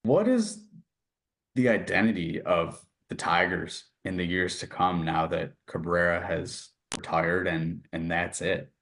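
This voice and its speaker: A man's voice: sits low, lively intonation, measured pace, vocal fry, fairly bright tone. A man hosting a sports interview, asking questions in a conversational style.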